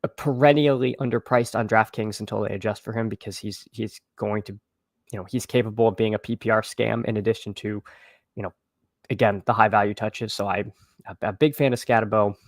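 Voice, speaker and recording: A man with a slightly froggy, hoarse voice chatting casually as a fantasy football livestream host, haltingly repeating phrases as he thinks aloud. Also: fairly low pitch, fairly even intonation, dry recording, studio quality. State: slightly hoarse, froggy after losing his voice at a game. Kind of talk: casual livestream chat and sports commentary.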